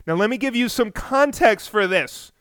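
High-voiced, smooth-toned male podcast host. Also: normal speed, dry recording, studio quality. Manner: energetic, rising to near shouts when excited; self-deprecating asides. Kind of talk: casual storytelling podcast.

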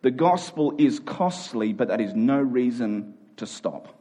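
A man preaches, with a medium-pitched voice that has a slightly rough, husky edge. He delivers a sermon, circling back on key phrases to drive them home and checking in with short rhetorical questions. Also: animated, measured pace, mellow.

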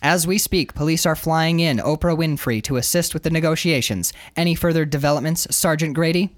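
A man voicing a serious female television news reporter from a comic book, in a measured, formal delivery. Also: fairly high pitch, close to an everyday voice.

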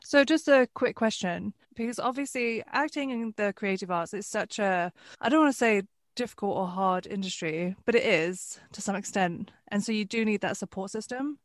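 Woman interviewer, encouraging, quick to chime in, mid-pitched, dry close sound. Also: no room sound. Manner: encouraging, with frequent short backchannels. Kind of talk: casual interview chat.

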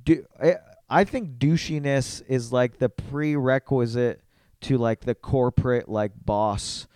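A man's voice: mid-range voice, unhurried, a little mellow, smooth, no echo, clean studio sound. A man chatting casually as a podcast guest, blunt and slangy, swearing freely.

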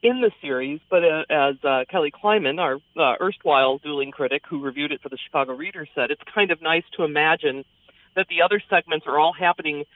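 A woman's voice: deep voice, a little fast, no echo. Female theater critic, conversational and keen, with narrow telephone-style audio and no room sound. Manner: chatty, enthusiastic. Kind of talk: conversational radio review. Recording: thin phone-like sound, dry.

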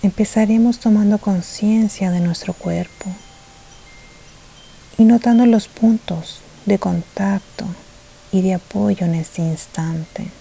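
A woman leading a guided meditation, soft-spoken and soothing, with gentle pauses and a tendency to drift toward a breathy near-whisper. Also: medium pitch, rough recording. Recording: faint hiss behind the voice.

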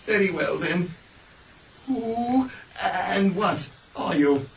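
A man plays a bitter old miser in a radio play, stammering and halting as he goes. His voice sits fairly high and swoops up and down, and he takes it slowly. It sounds a little distant, with a faint hiss. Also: lively intonation, exaggerated voice. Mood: wondering.

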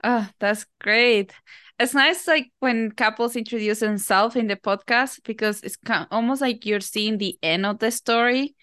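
A woman's voice: a little high, unhurried, no echo, studio quality. A woman hosting a podcast, welcoming guests and asking questions in an upbeat way. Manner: upbeat and warm, with some fillers. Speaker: podcast host.

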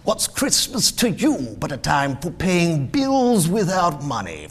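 A man's voice: high-pitched, voice pushed up, full character voice. A man voicing a bitter, cold-hearted old miser in a storybook reading, grating and curtly scornful. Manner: curt, scornful grumbling. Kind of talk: storybook character voice in dramatic reading.

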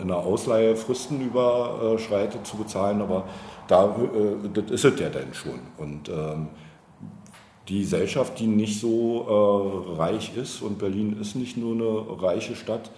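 A man with a low, dark voice answers interview questions in a reflective way. His phrasing is halting and deliberate, as if weighing each point. The room echoes around him. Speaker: political candidate being interviewed. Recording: roomy, noticeable background noise.

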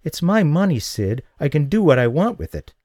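A man voicing a boisterous, quick-tempered young woman who squeals and swears, in an audiobook. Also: fairly high pitch, very animated, a little mellow, dry recording, studio quality, voice pushed up. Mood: furious. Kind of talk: audiobook character voice.

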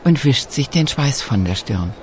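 A man reading scripted narration for a radio documentary feature in a calm, measured way. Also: mid-range voice, a little hiss or hum.

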